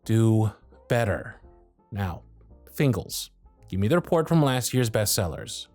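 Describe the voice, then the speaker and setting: A man's voice: lowish voice, big pitch swings, measured pace, darkish tone, soft background music. Man playing a grumpy elf supervisor. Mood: exasperated. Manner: sarcastic, scolding. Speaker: workshop supervisor. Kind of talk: ASMR roleplay audio.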